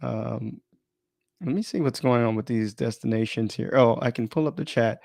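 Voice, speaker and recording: Creaky-voiced man rambling on a casual livestream. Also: mid-range voice, moderate pace, darkish tone, dry recording, clean studio sound. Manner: rambling, informal, self-interrupting. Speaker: live streamer and podcaster. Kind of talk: casual unplanned live stream chat, thinking aloud.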